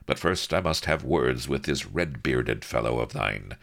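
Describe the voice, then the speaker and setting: A swaggering pirate captain, voiced by a male reader speaks in crisp, archaic, self-assured phrases. His voice is deep and gravelly, with an easy, unhurried confidence. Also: lively intonation, fairly bright tone, full character voice. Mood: gallant.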